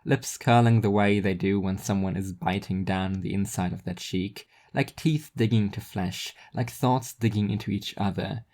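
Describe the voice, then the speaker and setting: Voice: low-pitched, moderate pace, hardly any pauses, a little mellow. A narrator reading scripted first-person literary fiction in an introspective, wry manner.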